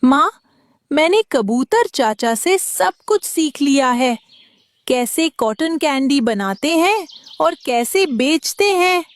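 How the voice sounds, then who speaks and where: A dutiful little boy bird voiced by a woman for a children's cartoon. The voice is high and childlike, with slow, earnest delivery and a dry, close sound. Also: expressive, no echo. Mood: eager. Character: young boy bird, dutiful, worried son.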